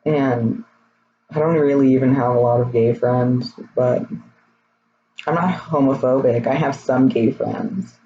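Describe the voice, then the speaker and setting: A young man in his twenties, his voice a little raspy, talking candidly and hesitantly in a personal vlog. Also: medium pitch, measured pace, mellow. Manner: candid, rambling, hesitant with many fillers. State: slightly raspy voice. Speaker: vlogger. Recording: slightly dull, faint noise.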